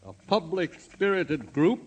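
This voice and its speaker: Bossy, higher-voiced small-town banker. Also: male voice, expressive, takes it slowly, slightly rough, heavily acted. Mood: commanding. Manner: pompous, domineering, decisive. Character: bossy, pompous male banker civic leader. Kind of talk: 1950s radio acting.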